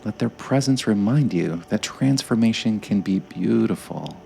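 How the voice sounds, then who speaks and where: A man narrating a scripted guided meditation in a gentle, measured way, with frequent pauses. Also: lowish voice, animated, vocal fry, faint music behind.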